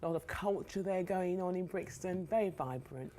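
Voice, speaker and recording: A woman's voice: lowish voice, moderate pace, dark tone, a little hiss or hum. A middle-aged woman with a London accent, chatting enthusiastically as an interview guest and repeating words for emphasis. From South London, England. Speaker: guest author. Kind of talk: casual interview chat.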